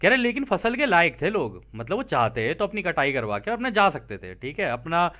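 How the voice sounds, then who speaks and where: A man with a fairly high voice and very lively intonation presents a text. He moves between reading aloud and casual explanation, going back over each point in other words as a teacher would. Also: normal speed. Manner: conversational, repeats and rephrases points.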